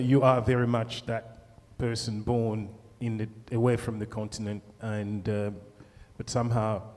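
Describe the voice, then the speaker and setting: A man moderating a panel, asking a measured question. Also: on the low side, darkish tone. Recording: long hall reverb.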